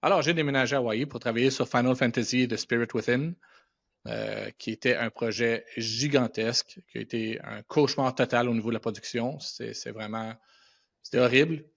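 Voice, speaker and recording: A man's voice: mid-range voice, fairly even intonation, a little fast, creaky. A middle-aged man with a Quebec French accent tells anecdotes casually as an interview guest, using colloquial speech sprinkled with English words. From Quebec, Canada. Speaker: podcast guest, animation and visual effects professional. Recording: dull, band-limited podcast audio.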